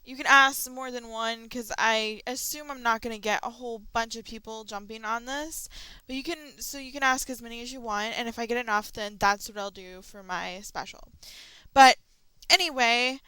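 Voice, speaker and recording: Young woman vlogger, rambling casual chat, bright crisp voice, dry close mic. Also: on the high side, normal speed, no echo, poor audio. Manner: chatty, rambling, frequent ums. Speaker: channel host. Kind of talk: casual audio log chat.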